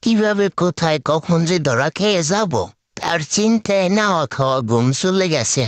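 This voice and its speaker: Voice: medium pitch, expressive, moderate pace, dry recording, natural voice. An actor puts on a scruffy male crow sidekick from a comic cartoon, servile and forever aggrieved. The voice swoops widely as it pleads in rustic, colloquial talk. It is recorded dry and close. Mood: whining.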